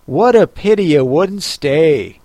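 Male reader doing a polite, nosy bird, high voice, storybook. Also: expressive, slow, dark tone, dry recording. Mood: curious. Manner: polite, inquisitive. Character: curious polite parrot-like bird.

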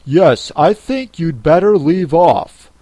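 An audiobook reader voicing the Gryphon, an impatient, bossy creature who gives brisk commands. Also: medium pitch, animated, mellow, dry recording, pitched above the performer's own voice.

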